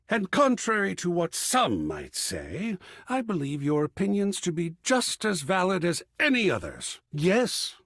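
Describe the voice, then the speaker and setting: An actor playing an effusive, romantic living artwork in a video game, with florid, rhapsodic, theatrical delivery. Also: male voice, fairly high pitch, husky, no echo, clean studio sound, full character voice. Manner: florid, rhapsodic, savouring pauses, swooping dramatically. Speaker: voiced dateable character in a dating simulator game.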